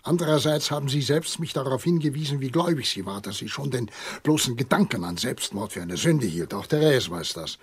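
An actor playing a weary old country doctor in a radio drama, grave and probing, speaking in solemn, halting questions. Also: male voice, medium pitch, lively intonation, husky, dry recording. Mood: firm, accusing. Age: older.

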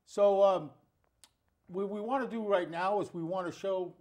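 A middle-aged man hosting a casual studio interview on a lapel mic. He is encouraging and quick to affirm his guest. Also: high-pitched, darkish tone. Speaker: TV talk show host. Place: small TV studio, indoors.

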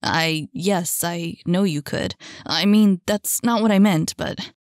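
A shy young man riddled with self-doubt, as a female reader plays him, talks a little lower and stumbles into hesitant half-sentences. His lines come slowly, and the dry, close recording keeps them intimate. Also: dry recording. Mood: flustered.

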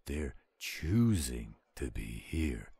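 A man's voice: a bass voice, big pitch swings, very slow, gravelly, dark tone, breathy edge, no echo, exaggerated voice. A man playing a pushy, loyal friend in a roleplay audio drama, steadily teasing and insisting. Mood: teasing, insistent.

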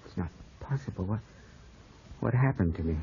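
Low-voiced, lovestruck English gentleman. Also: animated, moderate pace, husky, exaggerated voice. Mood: weak, feverish. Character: lovestruck, stubborn young English gentleman landowner. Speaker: radio drama actor and narrator. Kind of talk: 1950s radio acting. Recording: muffled old radio broadcast, music behind.

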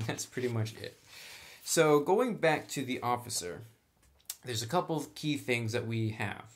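A man's voice: mid-range voice, animated, a little slow. A man hosting a casual tabletop game tutorial, talking in a chatty, informal, slangy way. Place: indoors at a tabletop.

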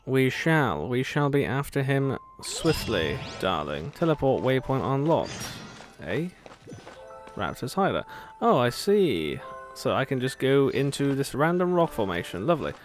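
A man's voice: medium pitch, sing-song, measured pace. Playful man commentating a video game. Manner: playful, sardonic asides and sing-song babbling. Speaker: gamer commentating a playthrough. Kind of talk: casual gameplay commentary. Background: game music.

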